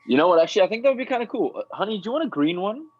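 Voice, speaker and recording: Man playing a quick, animated agreeable spouse. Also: high-pitched, slightly rough, dull audio, voice pushed up, heavily acted. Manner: agreeing, interjecting. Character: agreeable, echoing male partner shopping with his spouse. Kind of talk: improvised comedy scene.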